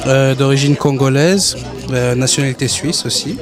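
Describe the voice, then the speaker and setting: A man's voice: mid-range voice, normal speed. Hesitant man speaking over crowd and music. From Switzerland. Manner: hesitant, many fillers and restarts. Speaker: event guest. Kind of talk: casual personal testimony.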